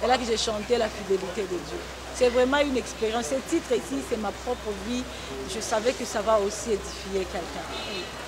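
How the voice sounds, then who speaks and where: A woman's voice: a little high, moderate pace, a crowd in the background. A woman gospel singer who grew up on the Congo-Zambia border, giving earnest, testimonial answers in a talk show interview with hesitant restarts. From Congo-Zambia border region. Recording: noisy broadcast recording.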